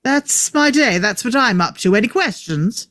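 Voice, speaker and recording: A man's voice: extremely high pitch, expressive, moderate pace, a bit bright, no room sound. A man reading the part of a clever, eccentric time traveller at a table read, explaining things with wry emphasis. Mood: grim, wry.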